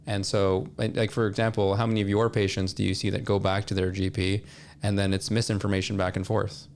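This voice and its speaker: A man explaining his points in a measured, conversational way as a podcast guest. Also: lowish voice, level tone, darkish tone, slight background noise. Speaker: podcast guest, supplement industry representative.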